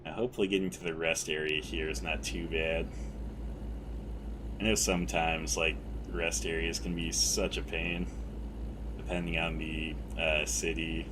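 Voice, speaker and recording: A man calmly talking through a driving game as a streamer, with a mellow, fairly monotone voice and a rambling, self-correcting way of speaking. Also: very deep voice, normal speed, noisy background. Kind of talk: casual gameplay commentary.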